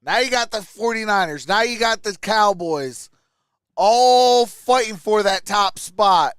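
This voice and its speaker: A man's voice: sits high, animated, unhurried, fairly bright tone, smooth, no echo, studio quality. A man hosting a sports livestream, giving casual play-by-play and chatting with viewers as he goes. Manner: reads out and answers viewer chat. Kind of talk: casual live play-by-play and chat reactions.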